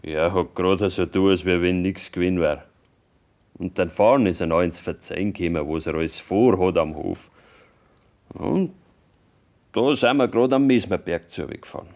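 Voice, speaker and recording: A man's voice: deep voice, normal speed, darkish tone. A man playing a reluctant, reproachful young farmer's son in a radio play, with plain Bavarian dialect speech. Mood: grudging, subdued. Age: young adult. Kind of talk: naturalistic German radio play acting.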